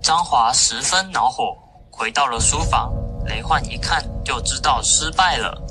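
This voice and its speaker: A young man serves as narrator of a radio play, reading a scripted tale in a medium-pitched voice. Music is clearly audible underneath him. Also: a little slow.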